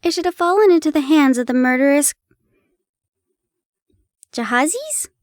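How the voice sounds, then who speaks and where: A young woman in a playful roleplay, chatting warmly to the listener and reading an old story aloud, giggling and stumbling over old place names. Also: high-pitched, animated, normal speed, no echo, studio quality. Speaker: audio roleplay performer.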